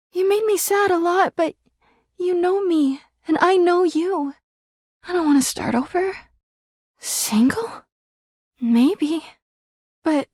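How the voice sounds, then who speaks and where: A clingy, melodramatic girlfriend from a video game. Her voice sits high and swoops constantly with volatile, whiny emotion. She is jealous and needy, recorded dry and clean like studio character work. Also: darkish tone, no room sound. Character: clingy, jealous, melodramatic female living household object, toxic girlfriend.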